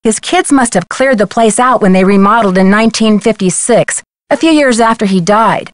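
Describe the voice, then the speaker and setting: A woman's voice: mid-range voice, moderate pace, dry recording, close to an everyday voice. An actress playing an earnest, inquisitive young female detective in a video game. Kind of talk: scripted video game dialogue.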